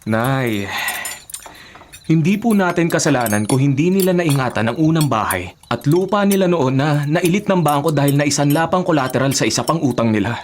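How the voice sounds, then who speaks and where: An actor playing a dutiful, principled young working son with a Filipino accent in a radio drama, respectful but firm. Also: male voice, a little high, animated, normal speed, slight background noise, close to an everyday voice. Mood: quiet disapproval.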